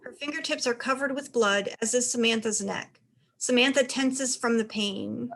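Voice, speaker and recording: A woman serves as narrator of a play reading. Her voice is a little high, and she reads out scripted stage directions between the actors' parts. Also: normal speed, creaky, fairly bright tone.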